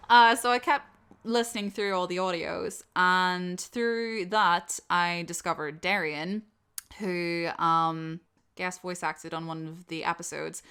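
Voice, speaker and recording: A woman's voice: on the low side, bright, forceful tone, smooth. A woman chatting casually and expressively in a self-deprecating way, like an online creator answering questions from followers. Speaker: audio roleplay creator and host. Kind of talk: casual subscriber Q&A, chatty talk.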